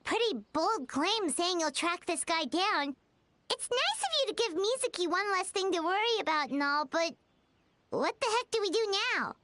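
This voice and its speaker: A woman voicing a tiny, excitable floating girl companion in a game, talking in bouncy bursts with loud exclamations. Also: extremely high pitch, normal speed, full character voice. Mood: chattering. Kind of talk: game cutscene voice acting. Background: faint game music.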